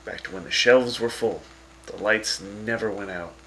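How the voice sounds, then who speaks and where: Cav, a sarcastic gravelly radio host voiced by a man. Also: on the low side, moderate pace, bright, forceful tone, slight background noise, low-quality recording. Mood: wry. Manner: sarcastic, teasing, chatty. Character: wry sarcastic male wasteland radio host.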